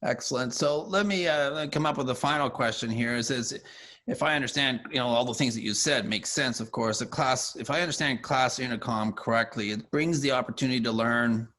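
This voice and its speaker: A man interviewing conversationally, summarizing and asking questions in a wordy, halting way as he rephrases himself. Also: mid-range voice, bright, forceful tone.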